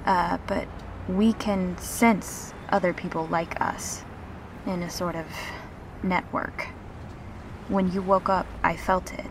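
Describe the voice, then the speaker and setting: A woman playing a gentle, reassuring revenant in an intimate audio roleplay, speaking earnestly and haltingly. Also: lowish voice, fairly even intonation, noisy background. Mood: patient, earnest. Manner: halting, earnest, soft-spoken pauses.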